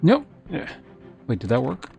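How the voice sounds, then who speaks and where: A man streams a video game in a medium-pitched voice with plenty of vocal fry. He talks casually and haltingly, working things out aloud and letting sentences trail off. Faint music plays underneath. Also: huge pitch swings, darkish tone, dull audio. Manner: hesitant, thinking aloud with trailing half-sentences. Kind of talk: casual livestream gameplay chat.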